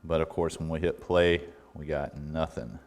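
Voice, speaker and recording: A middle-aged American man giving a casual, hands-on repair walkthrough in a folksy, unhurried way with halting pauses. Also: extremely low pitch, level tone, darkish tone. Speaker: electronics repair technician and video host. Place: indoor workbench.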